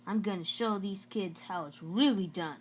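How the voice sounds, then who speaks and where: A woman's voice: mid-range voice, moderate pace, natural voice. A woman reads as a cocky schoolboy from a children's novel, casual and bragging. The delivery is lifted a little above narration, with faint noise behind. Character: confident, bragging schoolboy, joking best friend.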